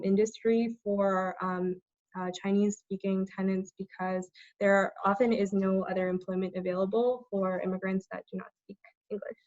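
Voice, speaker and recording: Young woman organizer on a video call, lots of ums, flat even delivery, muffled dry audio. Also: medium pitch, no echo. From United States. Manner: hesitant, many ums and uhs. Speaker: tenant organizer. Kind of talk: speaking on a group video call.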